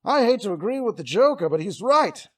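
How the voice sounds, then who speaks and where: A man's voice: high voice, very animated, brisk, a bit bright, slightly rough, distant mic, exaggerated voice. A man voicing a dryly pedantic mad-scientist villain in comedic banter, recorded a little off the microphone. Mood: indignant. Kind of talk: comedic character banter in a review show.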